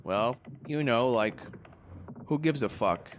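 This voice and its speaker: An actor playing a crude, menacing man aboard a ship in an audio play, talking in a halting, offhand way. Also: medium pitch, lively intonation, a little hiss or hum. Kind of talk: theatrical audio play acting.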